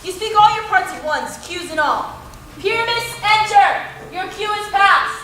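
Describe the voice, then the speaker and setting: A woman's voice: fairly high pitch, moderate pace, a bit bright, lightly acted. A woman acting an earnest, easily exasperated director of amateur players, instructing in theatrical Shakespearean style. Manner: organizing, instructing. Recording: echoey room, distant mic, noisy.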